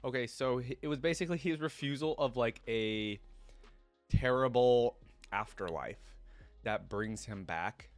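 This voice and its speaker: A man's voice: mid-range voice, a little slow, no room sound, faint music behind. A man hosting a reaction video, reading lore aloud and adding casual asides.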